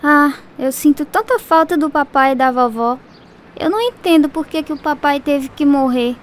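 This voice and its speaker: A woman's voice: sits high, moderate pace, close to an everyday voice. A woman playing a wistful, thoughtful young girl in a radio drama. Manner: wistful, pensive.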